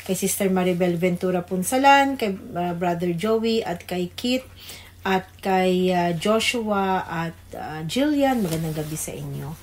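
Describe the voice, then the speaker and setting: A Filipino woman with a slightly low voice serves as a radio co-host. Her delivery is informal, suited to on-air greetings and short replies. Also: animated, unhurried, a little mellow.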